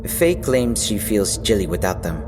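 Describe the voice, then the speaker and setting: A man's voice: fairly low pitch, very animated, moderate pace. Cocky young fighter guy, narrating his own audio drama, casual and irreverent, music behind him. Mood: focused. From Philippines. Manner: casual, wry. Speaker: voice actor narrating in character. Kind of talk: audio drama dialogue and first-person narration. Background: background music.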